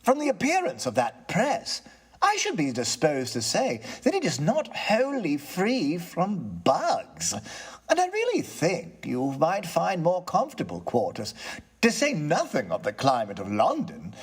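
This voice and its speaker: High-pitched, nervous, polite gentleman acted by a man. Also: huge pitch swings, unhurried, husky, full character voice. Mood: courteous, persuasive. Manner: fussy, courteous, hesitant. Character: nervous, polite, reasonable male tenant, frightened then chatty. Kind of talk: theatrical storybook character reading.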